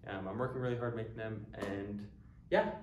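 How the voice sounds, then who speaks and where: A man's voice: fairly low pitch, fairly even intonation, normal speed, a little mellow, roomy sound, slight background noise. A young man in his early twenties from the United States, giving an earnest, casual introduction to a language course with frequent ums and restarts. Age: early 20s. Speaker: language teacher. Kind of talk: casual talking-head introduction.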